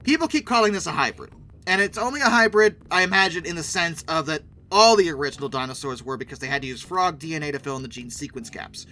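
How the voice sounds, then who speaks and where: A man's voice: on the high side, animated, fast, bright, forceful tone. A man giving casual video commentary in a sarcastic, opinionated, emphatic way. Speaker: YouTube commentator and host.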